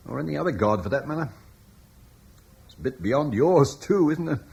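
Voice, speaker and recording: A man's voice: fairly high pitch, animated, moderate pace, creaky, a little mellow, husky, heavily acted. An actor playing a weary Roman-era man who speaks in a wry, bitter, resigned way, in a classic radio play. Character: weary Roman-British man facing the end. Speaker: Julian, husband in a radio play. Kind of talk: 1950s-60s radio drama acting. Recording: old radio broadcast with faint noise.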